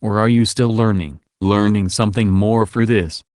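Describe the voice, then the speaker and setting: A male interviewer with a fairly deep voice puts questions in a halting way, rewording as he goes. The recording is dry, with no audible room. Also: fairly even intonation, a little mellow, dry recording.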